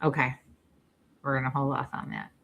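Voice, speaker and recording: A woman hosting a live-streamed spirit reading as a psychic medium, chatty and often clearing an irritated throat. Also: very deep voice, wildly swooping pitch, moderate pace, a bit bright, highs cut off. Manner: chatty, keeps clearing her throat and coughing.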